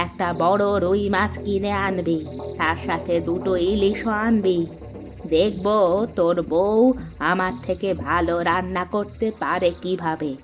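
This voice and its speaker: A woman's voice: medium pitch, close to an everyday voice. Woman voicing a scolding, proud old mother-in-law, slightly muffled. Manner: scolding, commanding. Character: proud, quarrelsome elderly mother-in-law, bossy matriarch. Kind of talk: Bengali cartoon dub acting.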